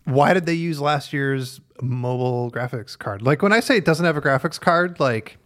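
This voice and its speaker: A man's voice: mid-range voice, moderate pace, a bit bright. A man co-hosting a tech podcast, talking casually and arguing his point. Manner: casual, argumentative, lots of "like". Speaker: podcast co-host. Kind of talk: podcast discussion.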